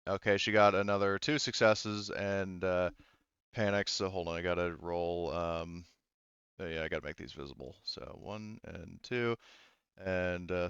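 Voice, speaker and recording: Smooth, low-voiced male game master. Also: fairly even intonation, fairly bright tone, no echo, dull audio. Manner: halting, thinking aloud. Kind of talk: improvised narration of a tabletop roleplaying game.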